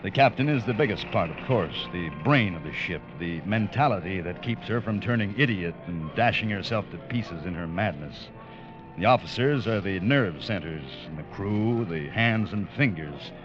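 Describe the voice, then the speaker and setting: A reserved, formal ship's first officer who narrates a vintage radio drama. His voice is fairly low, his speech terse and correct. Music plays clearly behind him in a dull, lo-fi broadcast sound. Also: lively intonation, normal speed. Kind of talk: 1940s radio acting and narration.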